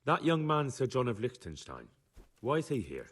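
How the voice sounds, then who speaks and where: An actor playing a curious, plain-spoken young man in a medieval video game, with a husky voice. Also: medium pitch, wildly swooping pitch, moderate pace. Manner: plain, inquisitive.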